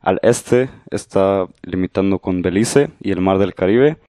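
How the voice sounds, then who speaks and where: Low-voiced Guatemalan man explaining calmly. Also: dry recording, studio quality. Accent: Guatemalan Spanish. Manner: calm, measured, modest explainer. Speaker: podcast guest. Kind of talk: casual explanatory interview talk.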